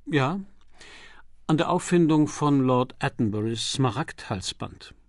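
Man as a reserved, slow-speaking gentleman patient. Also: medium pitch, expressive, dry recording, clean studio sound. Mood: reserved, hesitant. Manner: understated, polite, self-deprecating. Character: reserved, courteous aristocratic gentleman patient, war veteran. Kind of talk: audiobook character dialogue.

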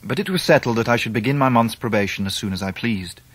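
Husky English gentleman narrator. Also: mid-range voice, expressive. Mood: reflective. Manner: measured, literary. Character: grown English gentleman recounting his own youth. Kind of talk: scripted first-person drama narration.

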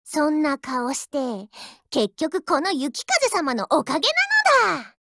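A woman's voice: high voice, very animated, measured pace, a bit bright, slight background noise, full character voice. A woman voicing a haughty, childish anime warship girl in a mobile game, boastful and tsundere in manner. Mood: smug, boastful. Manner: boastful, tsundere, ends sentences with a verbal tic. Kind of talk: Japanese anime game character voice lines.

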